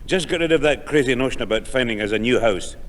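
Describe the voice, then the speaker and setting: A man's voice: medium pitch, expressive, quick pace, a bit bright, close to an everyday voice. A man playing a grumbling, suspicious old grandad with a hesitant delivery in a 1960s radio sitcom. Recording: old radio broadcast, echoey, hissy.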